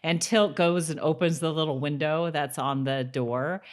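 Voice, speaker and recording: Low-pitched woman co-hosting a podcast. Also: steady tone, normal speed. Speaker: podcast co-host. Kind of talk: casual chat about a TV episode.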